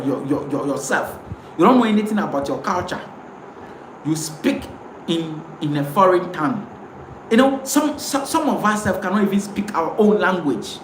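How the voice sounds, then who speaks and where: Ghanaian woman host, emphatic and preachy live talk, roomy sound with light noise. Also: on the low side. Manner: emphatic, repeats words, preachy.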